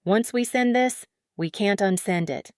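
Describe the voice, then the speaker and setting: A woman's voice: medium pitch, a little slow, darkish tone, clear, resonant tone, no room sound, studio quality, lightly acted. A woman playing a cautious, anxious astrophysicist in a sci-fi audio drama, with a serious, apprehensive manner. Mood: nervous.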